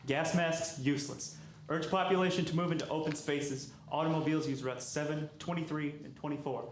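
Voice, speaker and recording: A man's voice: a little high, fairly even intonation, fairly quick, close to an everyday voice. A man playing an urgent emergency radio operator in a 1930s-style radio drama, giving clipped official warnings. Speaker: actor. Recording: very echoey live hall, faint noise.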